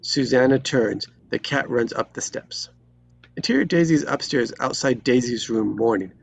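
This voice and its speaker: A man reading screenplay stage directions as dramatic narration, in terse, deliberate phrases. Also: mid-range voice, creaky, a little hiss or hum.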